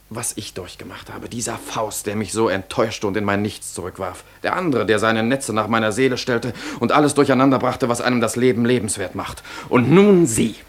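An intense young dreamer in a radio drama, lowish voice, poetic n declamatory, impassioned. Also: male voice. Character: fervent, idealistic young man, proud romantic dreamer. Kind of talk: German radio play acting.

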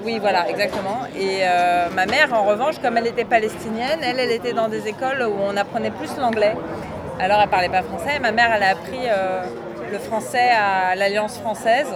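A woman's voice: medium pitch, brisk, a crowd in the background. A woman from Lebanon who grew up in Paris, speaking thoughtfully with many hesitations as an interview guest. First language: Arabic. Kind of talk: casual interview.

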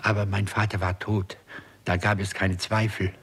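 An actor playing a brooding, obsessed doctor in a 1960s radio crime drama, with a husky voice and a solemn, deliberate delivery. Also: male voice, deep voice, flat delivery, fairly bright tone, exaggerated voice. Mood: grave, haunted. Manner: slow, deliberate, solemn storytelling. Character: brooding, obsessive male doctor experimenting on raising the dead.

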